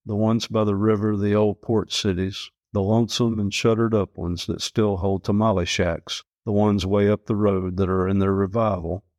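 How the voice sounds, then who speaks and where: A man's voice: on the low side, little pitch movement, moderate pace, few pauses, darkish tone, dry recording, studio quality. A man reading a literary travel story aloud as scripted narration.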